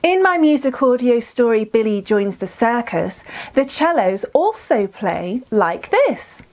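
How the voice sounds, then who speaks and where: A woman presenter for young children with a high voice. She speaks slowly and very expressively, encouraging her listeners in a scripted storytime style. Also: sing-song, a little mellow. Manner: enunciated, encouraging, asks listeners questions.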